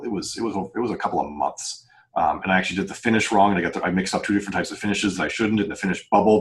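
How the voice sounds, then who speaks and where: A man with a deep voice talks at a quick clip in casual podcast conversation. He explains things earnestly, rambling and backtracking to rephrase as he goes. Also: fairly bright tone. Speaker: novelist and podcast panelist.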